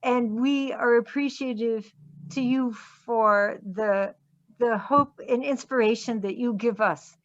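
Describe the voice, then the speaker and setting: A woman with a high voice speaks as an event host, offering formal thanks. The sound is dry and somewhat dull, as on a video call. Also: slow, no room sound.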